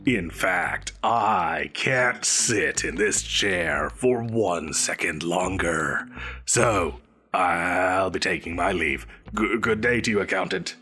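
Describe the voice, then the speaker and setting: A man's voice: low-pitched, slow, sharp tone, soft background music, full character voice. An actor playing a pompous, flustered supervillain boss in an audio roleplay, theatrical and haughty, stammering when he gets defensive. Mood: haughty, huffy. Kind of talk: scripted audio roleplay acting.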